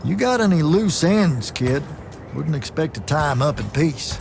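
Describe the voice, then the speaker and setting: An actor playing a salty, folksy veteran soldier in a video game cutscene, wry and gruffly fond in manner. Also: male voice, a little high, big pitch swings, measured pace, darkish tone, slight background noise, full character voice. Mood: matter-of-fact.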